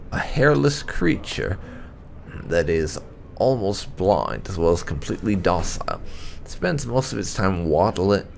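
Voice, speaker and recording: Male narrator for fantasy codex readings, deep pitch, gravelly edge, music underneath. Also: slight background noise. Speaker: gaming channel host. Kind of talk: scripted narration, reading lore entries aloud.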